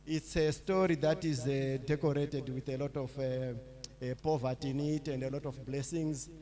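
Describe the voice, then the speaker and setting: A middle-aged man from Zimbabwe giving a public lecture, talking in a halting way with many fillers and restarts. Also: medium pitch, fairly even intonation, dark tone. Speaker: lecturer, associate professor.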